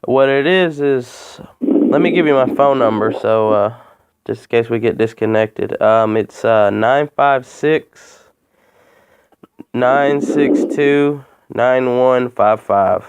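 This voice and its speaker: A man making a complaint by phone, talking in a halting, rambling way and repeating himself. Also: medium pitch, clean tone. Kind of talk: phone call, informal account. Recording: muffled phone call recording.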